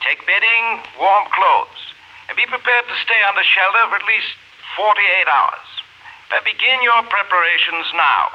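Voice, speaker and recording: Casting: male actor as stiff, solemn official, notably high voice, careful delivery, muffled vintage broadcast. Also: normal speed, close to an everyday voice. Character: formal, measured male government science official. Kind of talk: 1950s radio drama address. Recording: muffled 1950s radio broadcast.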